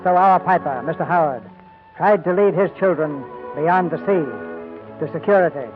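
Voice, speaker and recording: A man's voice: a little high, normal speed. A man announcing a 1940s radio drama in solemn, scripted dramatic narration. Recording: tinny 1940s radio recording. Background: music.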